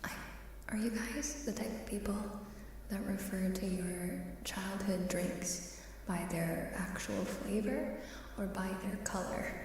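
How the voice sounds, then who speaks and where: A woman talks in a soft, mellow ASMR voice that often slips into whispers. She takes her time, with a playful, coy manner. The sound is heavily echoing and a little distant, with faint ambience behind her. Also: mid-range voice, slow pace. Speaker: ASMR live streamer. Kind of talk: ASMR casual chat with whispering. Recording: reverberant hall-like echo, faint hiss, distant.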